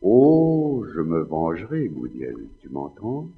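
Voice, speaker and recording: A man's voice: low-pitched, huge pitch swings, slow, full character voice. An actor playing a proud, scheming Spanish nobleman in a classical French stage drama, in a theatrical, declamatory manner. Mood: scheming, vengeful. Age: middle-aged. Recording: slightly dull, long hall reverb.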